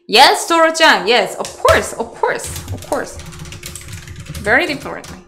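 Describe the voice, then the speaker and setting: Bright-voiced Korean woman teaching online. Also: high voice, big pitch swings. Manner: chatty, switches languages, answers chat questions in halting English. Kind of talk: live online lecture Q&A. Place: small room indoors. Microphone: webcam on video call.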